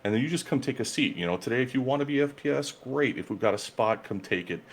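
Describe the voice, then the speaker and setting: A man's voice: mid-range voice, animated, fast. A man guest in a casual interview, talking earnestly and gratefully about his gaming group.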